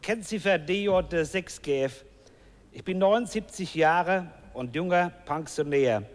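High-voiced man, slow mock-formal. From Essen, Germany. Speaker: game show contestant. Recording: reverberant TV studio.

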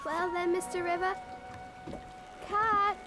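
A tender-hearted, hopeful young girl in an animated cartoon. The voice is extremely high and very slow, earnest and wistful, with loud music underneath. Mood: pleading.

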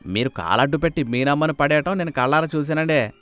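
A man voicing a cheeky, outspoken household servant in an animated moral story, with a folksy, taunting manner. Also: fairly high pitch, quick pace, clean tone, no echo, faint music behind, lightly acted. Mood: sarcastic.

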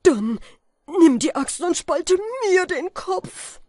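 A woman voicing a half-mad, starving man who raves and shouts wildly, for a storybook reading. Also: extremely high pitch, lively intonation, slow, no echo, clean studio sound, voice pushed up, exaggerated voice. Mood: frantic. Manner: raving, shouting, frantic.

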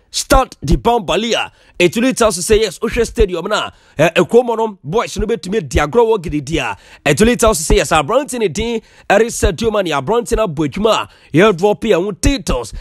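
A man with a Ghanaian accent presenting sports news as a voice-over, switching quickly between Twi and English. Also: high-pitched, no echo, clean studio sound. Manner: fast mixing of Twi and English.

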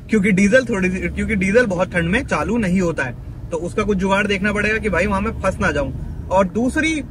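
Chatty high-voiced male travel vlogger. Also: brisk, noisy background. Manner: chatty, thinks aloud, mixes in English words. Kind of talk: travel vlog narration. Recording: background music under the voice.

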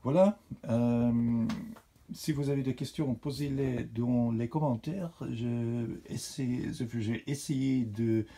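A man for whom French is not his first language, hosting a model railway channel and talking in a casual, halting way with many fillers. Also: medium pitch, steady tone, gravelly, mellow. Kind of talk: casual hobby show-and-tell. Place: indoors beside a model railway layout.

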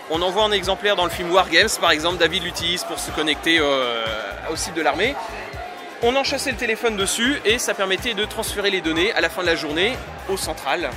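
A man in his thirties at a convention stand, explaining his collection in a casual, rambling way with many fillers. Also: high-pitched. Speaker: retro computer exhibitor. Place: busy convention hall stand, indoors. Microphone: handheld recorder held by the interviewer.

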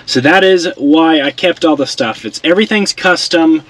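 A man's voice: fairly high pitch, moderate pace, rough recording. A man casually walking through his car build as a vlogger, explaining each step as he works and joking at his own mistakes. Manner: relaxed, self-deprecating, explains while working. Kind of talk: casual how-to walkthrough. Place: garage and inside a truck.

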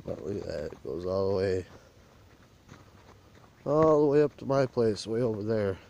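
Man, lowish voice, plain rural talker, walking and filming on a phone outdoors with faint background noise. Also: animated, normal speed, vocal fry, dark tone, dull audio. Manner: plain-spoken, rambling, rural phrasing. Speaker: rural vlogger. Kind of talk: casual walk-and-talk vlog. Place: outdoors in a burnt field. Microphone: handheld phone camera while walking.

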